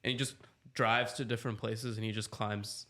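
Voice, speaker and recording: A man's voice: fairly low pitch, level tone, moderate pace, pushed and bright. A man in his twenties, co-hosting a casual podcast, rambling and filling his talk with "like". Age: 20s. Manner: rambling, heavy use of "like". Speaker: podcast co-host. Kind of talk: casual chat.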